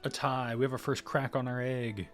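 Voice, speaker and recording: A man's voice: mid-range voice, flat delivery, moderate pace, soft background music. A man streaming a video game, casually thinking aloud and muttering to himself between bursts of exclamation. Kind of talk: casual live gameplay commentary, thinking aloud.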